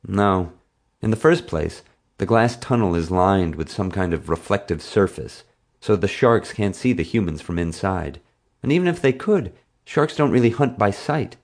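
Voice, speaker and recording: Casting: man as clever, slightly nervous schoolboy hero of a storybook, explanatory, raised a little from a deep voice. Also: lively intonation, normal speed. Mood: explaining. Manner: explanatory, evasive under pressure. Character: smart, anxious schoolboy, son of zoo scientist.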